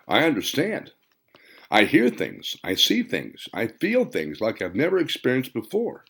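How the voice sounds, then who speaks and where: A man voicing an earnest, wondering woman in an audiobook, speaking deliberately. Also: mid-range voice, huge pitch swings, creaky, fairly bright tone, husky, exaggerated voice. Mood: awed. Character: earnest woman sensing strange new powers. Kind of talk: audiobook character dialogue.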